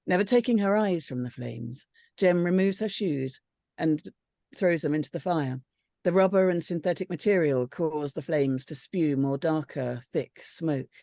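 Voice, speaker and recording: A woman's voice: low-pitched, gravelly, warm tone, no room sound, studio quality. A woman reading out screenplay stage directions as scripted narration.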